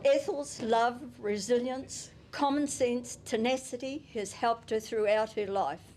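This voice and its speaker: A New Zealand woman speaking at a memorial service and reading her tribute at an unhurried pace. Her voice sits a little high, and she chuckles softly now and then. A faint hiss runs under the microphone. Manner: gentle and unhurried, with soft chuckles. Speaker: family member giving a eulogy. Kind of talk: reading a prepared tribute and poem. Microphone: handheld microphone.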